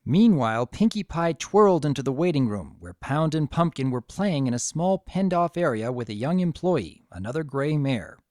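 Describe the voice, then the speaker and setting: A man's voice: a little high, big pitch swings, normal speed, hardly any pauses, darkish tone, dry recording. A man narrates a story as a dramatic reading. His intonation swings widely and expressively. The sound is dry, with very little room in it. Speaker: narrator of a fan fiction story.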